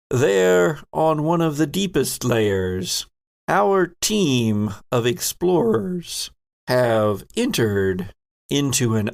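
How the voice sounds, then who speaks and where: A man with a creaky, gravelly voice narrates a tabletop horror game as its game master. His delivery is theatrical and unhurried, stretching out phrases for suspense at a slow pace. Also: mid-range voice. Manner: theatrical, drawn-out storytelling.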